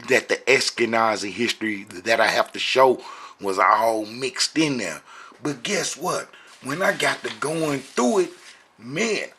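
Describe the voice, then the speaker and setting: A man's voice: medium pitch, sharp tone, husky. A man giving impromptu religious commentary, preaching emphatically and repeating phrases for stress. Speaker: online religious teacher.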